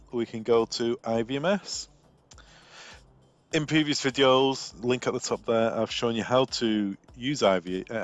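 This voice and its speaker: A man working as a technical trainer, narrating a screen demo in an informal, step-by-step way. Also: lowish voice.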